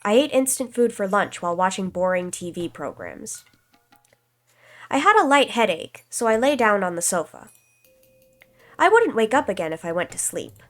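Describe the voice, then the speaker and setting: A woman with a stuffy, slightly congested voice reads a visual novel aloud at a calm, even pace, with casual asides to her viewers. Her pitch sits in an ordinary middle range. Soft background music plays faintly behind her. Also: moderate pace. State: stuffy nose, slight cough. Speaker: streamer.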